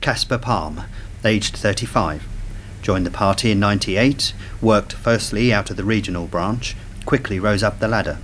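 A man's voice: fairly low pitch, normal speed, fairly bright tone, slight background noise, natural voice. A man playing a guarded intelligence official delivering a cool briefing in a radio drama. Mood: matter-of-fact. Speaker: actor in a radio play.

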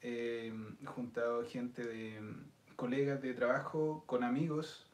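A man in his thirties with a Chilean accent, a podcast guest explaining things in a thoughtful, measured way. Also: medium pitch, level tone, darkish tone. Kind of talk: casual chat. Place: indoor studio set with city backdrop. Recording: roomy sound with faint noise.

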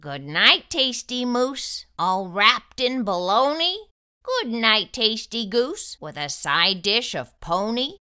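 A woman voicing a gentle, reassuring T-rex father with dry humour in a storybook, soothing and measured. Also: a little high, very animated, clear, resonant tone, exaggerated voice.

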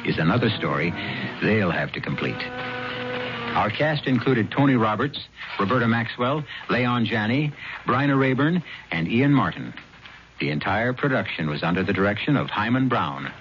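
Male radio host, theatrical storytelling, lively low voice, loud music behind. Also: normal speed. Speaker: host and narrator. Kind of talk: 1970s radio mystery narration. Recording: dull radio recording under loud music.

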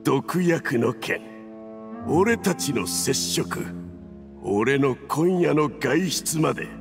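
男性の声優がゲームで演じる、毒で弱り、息も絶え絶えに高圧的に脅す傲慢な使節。. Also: male voice, fairly high pitch, animated, creaky, heavily acted. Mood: indignant, strained. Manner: haughty, gasping, halting threats. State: weakened and struggling from poison. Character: arrogant male envoy, poisoned, betrayed and indignant. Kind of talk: dramatic game cutscene acting in Japanese anime manner. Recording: long reverb, music underneath.

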